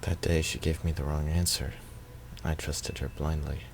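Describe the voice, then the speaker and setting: Very deep-voiced man reading a story. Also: little pitch movement, moderate pace, creaky, darkish tone, soft background music. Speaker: video maker reading a visual novel. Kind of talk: reading first-person story narration aloud.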